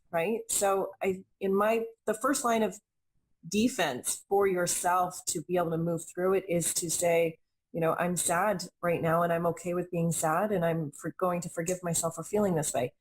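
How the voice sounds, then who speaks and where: A young adult woman talks earnestly in a low, even-toned voice. She is reflective and stacks repeated phrases to drive her point home. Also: moderate pace, poor audio. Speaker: intuitive reader and healer, guest. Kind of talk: conversational interview.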